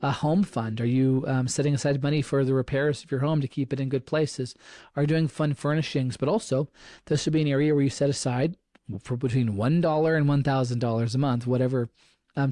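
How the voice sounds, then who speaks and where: Earnest man talking money, mellow and close. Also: mid-range voice, no echo. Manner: earnest, repeats phrases and restarts often. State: slightly froggy voice. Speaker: podcast host. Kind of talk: casual advice talk.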